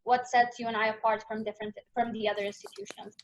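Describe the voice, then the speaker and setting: A woman's voice: a little high, flat delivery, quick pace. A woman informally moderating a panel, putting questions to the speakers. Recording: dull, muffled lo-fi audio.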